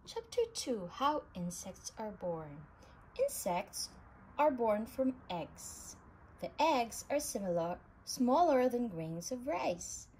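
A woman reading a children's science book aloud in an animated storybook manner, with emphasis for young listeners. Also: on the high side, slow. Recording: clearly noisy home recording.

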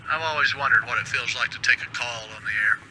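An actor playing a wry male radio producer making quick asides to the host. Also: mid-range voice, sharp tone, a little hiss or hum, low-quality recording. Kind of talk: video game voice acting.

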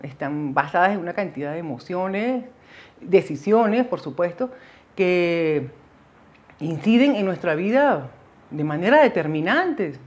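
A woman's voice: fairly low pitch, animated, unhurried, darkish tone. A middle-aged woman with a Venezuelan accent talking casually and reflectively to viewers, often digressing as she goes. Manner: conversational, digressive, addresses viewers directly. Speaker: video host sharing migration experience. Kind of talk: casual, reflective monologue to camera. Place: small indoor setup with dark backdrop.

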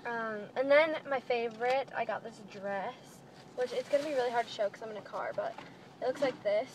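A woman's voice: fairly high pitch, brisk. A teenage girl chatting casually to the camera as a vlogger, recorded inside a car. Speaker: vlogger showing a clothing haul. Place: inside a parked minivan. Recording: noisy phone-style recording inside a car.

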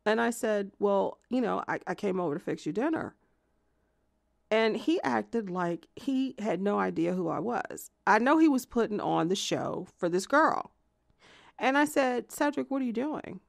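Casting: actress for an obsessive, vengeful jilted woman, conversational monologue for audio drama, hesitant yet quick to indignation, gravelly, close dry sound. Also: mid-range voice, animated, no room sound. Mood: indignant, incredulous. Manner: hesitant, filler-strewn rambling that flares into swearing indignation. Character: jilted, obsessive woman confessing vengeful deeds, self-justifying and volatile. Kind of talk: narrated audio theatre monologue, conversational confession.

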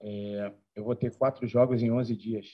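Portuguese-speaking coach at a press table. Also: male voice, lowish voice, little pitch movement, unhurried, husky. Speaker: football coach. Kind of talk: post-match press conference answers. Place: press conference room. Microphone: table mic. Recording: dull lo-fi stream audio.

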